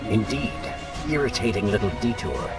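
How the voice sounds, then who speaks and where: A man's voice: on the low side, moderate pace, lightly acted. A man playing a disembodied inner voice for a video game, sardonic, impatient and commanding. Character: disembodied male inner voice, mocking, imperious, impatient. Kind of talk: dramatic video game acting. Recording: game music and effects behind voice.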